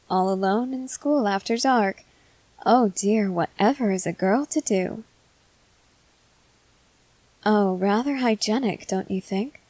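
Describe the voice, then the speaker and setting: A woman's voice: mid-range voice, a little mellow, dry recording, close to an everyday voice. Woman voicing a haughty, composed schoolgirl, dry close recording. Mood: mocking, amused. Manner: formal, articulate, measured speech with deliberate pauses. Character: composed, haughty junior high schoolgirl, amused and sardonic. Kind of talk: scripted ASMR roleplay monologue.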